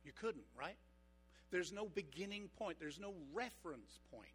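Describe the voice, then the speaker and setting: Man, pastor, high voice, sermon, rhetorical questions, slow emphatic delivery. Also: expressive, fairly bright tone, slight background noise. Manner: engaging, asks the congregation rhetorical questions; slows down for emphatic points.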